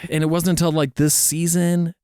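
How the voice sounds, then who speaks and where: A man's voice: a little high, little pitch movement, normal speed, mellow, smooth, no room sound, clean studio sound. A man co-hosting a casual podcast, reading out listener questions and laughing often. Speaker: podcast co-host. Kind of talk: casual chat.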